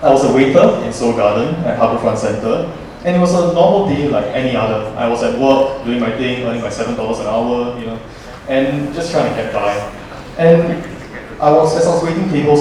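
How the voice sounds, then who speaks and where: A man's voice: medium pitch, a little fast, background noise. A young man, a student presenter, talking to a class in a chatty, joking storytelling way. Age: young adult. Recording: roomy echo from far off.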